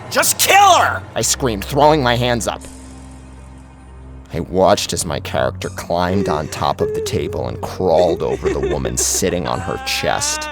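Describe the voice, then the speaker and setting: A man's voice: sits low, wildly swooping pitch, unhurried. A man narrating a first-person horror story in an intense, theatrical, scripted style. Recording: clear music bed under narration.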